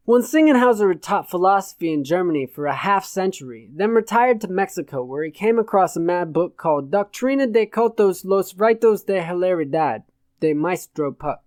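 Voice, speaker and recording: A man narrating satirical fiction from a script in a measured, literate way. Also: high voice, animated, darkish tone, no echo, clean studio sound. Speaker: audiobook narrator.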